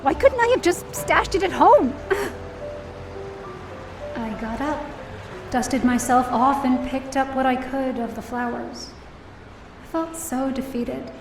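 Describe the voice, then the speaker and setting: A woman's voice: sits high, slow, long pauses. An actress playing an anxious young woman who narrates her own story in an audio drama, confiding and self-deprecating. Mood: distraught, defeated. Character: anxious, downcast young woman, daughter of a hospitalized mother. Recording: roomy, distant-sounding voice over music.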